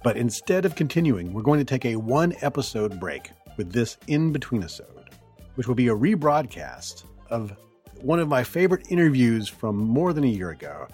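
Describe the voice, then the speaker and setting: A man hosting a podcast, talking alone in a hoarse voice as he gets over the flu, rambling and correcting himself as he goes. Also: mid-range voice, sing-song, normal speed, a little mellow, soft background music. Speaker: podcast host. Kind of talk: solo podcast monologue.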